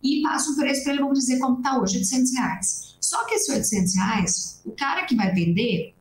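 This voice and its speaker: Young Brazilian woman, coffee-farmer guest, high-ish voice, earnest and long-winded, echoey webcam audio. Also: animated, brisk, slight background noise. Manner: earnest, explains at length with many restarts. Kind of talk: explanatory interview talk. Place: small indoor room at home. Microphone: laptop webcam on a video call. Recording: roomy video-call audio.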